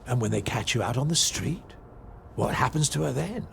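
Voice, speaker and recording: An actor playing an older general in a video game, theatrical and insinuating, halting in his delivery, possessive and sly. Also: mid-range voice, lively intonation, gravelly, a bit bright, a little hiss or hum. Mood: bitter, menacing. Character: menacing, possessive old general, sly and wistful. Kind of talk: dramatic video game acting.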